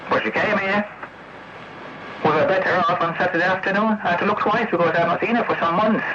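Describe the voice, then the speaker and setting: A man delivering a rambling, confrontational, sweary monologue over CB radio, repeating accusations and throwing out challenging tag questions. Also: high-pitched. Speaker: CB radio operator. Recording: noisy, muffled CB radio tape recording.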